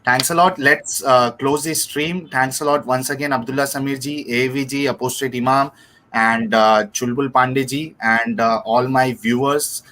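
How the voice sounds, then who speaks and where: A man hosting a livestream, speaking politely with many pauses and fillers. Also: mid-range voice, steady tone, bright, forceful tone. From India. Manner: hesitant, many fillers, polite formal address. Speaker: livestream host. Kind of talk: casual livestream chat.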